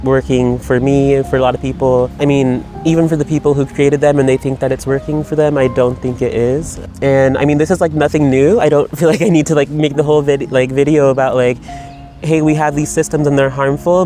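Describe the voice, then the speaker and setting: A man of ordinary pitch talks in a loose, reflective monologue, circling his thoughts with frequent fillers and restarts. Faint music sits underneath. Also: fairly even intonation, a little fast, dark tone. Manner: rambling, reflective, many fillers. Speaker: writer. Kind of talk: personal video monologue. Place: Berlin.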